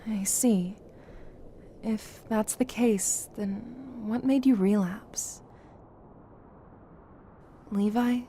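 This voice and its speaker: A woman acting in an audio drama as a caring, frank friend, gentle but direct in manner. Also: mid-range voice, drawn-out words, long pauses, slight background noise. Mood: gentle, patient.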